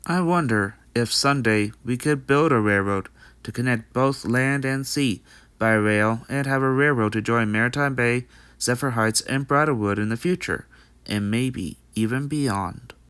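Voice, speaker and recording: A man's voice: medium pitch, unhurried, fairly bright tone, clear, resonant tone, no room sound, natural voice. A man playing a friendly, dutiful young male pony in an amateur comic dub, explaining things in an earnest, read-out way. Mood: friendly, hopeful.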